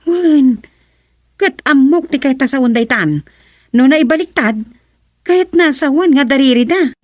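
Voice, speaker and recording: A woman's voice: high voice, lively intonation, unhurried, dry recording, clean studio sound. An actress playing a concerned young woman in an Ilocano family radio drama, earnest and questioning, with an Ilocano accent. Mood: worried.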